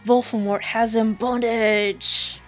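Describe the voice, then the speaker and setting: A woman voicing a whiny, dramatic young gothic vampire boy, pitched up and wailing. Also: steady tone, drawn-out words, soft background music. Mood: urgent.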